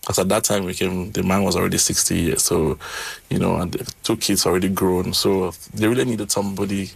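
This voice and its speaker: Gravelly low-voiced man, halting speech. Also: level tone, dry recording, rough recording. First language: not English. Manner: halting, with frequent um and uh fillers. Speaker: interview guest. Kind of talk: casual interview storytelling.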